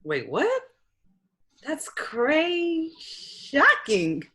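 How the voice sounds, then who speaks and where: A woman's voice: high voice, huge pitch swings, extremely slow, bright, forceful tone, exaggerated voice. A woman reading a stressed, guarded young attorney in a comedy script table read, dry and brusque. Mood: surprised.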